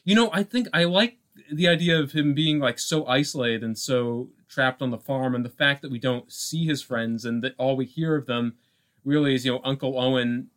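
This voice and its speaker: A man co-hosting a podcast, talking casually about film in a halting way, thinking aloud with frequent asides. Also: medium pitch, fairly bright tone. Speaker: podcast co-host.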